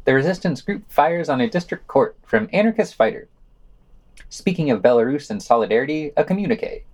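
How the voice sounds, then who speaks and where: A man's voice: a little high, lively intonation, a little fast, darkish tone. A man reading scripted podcast news, adding short asides as he goes.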